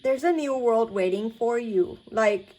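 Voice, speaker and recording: A woman whose first language is Thai, giving a tarot card reading in a soothing, searching way with many pauses and restarts. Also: fairly high pitch, darkish tone, rough recording. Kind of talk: spiritual pick-a-card reading.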